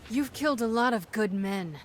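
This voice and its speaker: An actress playing a tough, sardonic pirate captain in a video game, commanding and dryly threatening. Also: female voice, on the high side, lively intonation, moderate pace, a little hiss or hum, natural voice. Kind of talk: video game cutscene acting.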